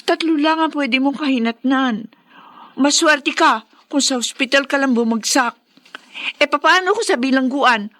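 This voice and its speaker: A woman's voice: sits high, normal speed, a bit bright. A woman playing a worried, loving and cautious young woman in a Filipino radio drama, pleading anxiously. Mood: worried warning.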